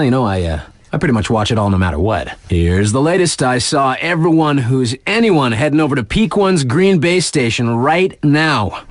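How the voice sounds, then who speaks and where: An actor playing a hip, easygoing radio DJ in a video game, giving casual mountain event updates with wry, colloquial asides. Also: male voice, medium pitch, no echo, clean studio sound, natural voice. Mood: upbeat, laid-back. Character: laid-back hip radio DJ for a snowboard mountain.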